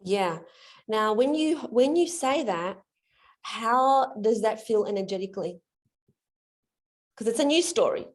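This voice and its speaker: A woman's voice: a little high, expressive, unhurried, long pauses. A woman coaching a guest through his limiting beliefs on a video call, in a friendly, explanatory way. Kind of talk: live coaching demonstration in an online session.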